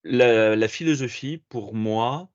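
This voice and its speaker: A middle-aged French man being interviewed as a philosopher, talking in a measured, reflective way with frequent hesitations. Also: medium pitch, no echo, highs cut off. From France. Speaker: podcast guest, philosopher and management consultant. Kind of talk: interview answers.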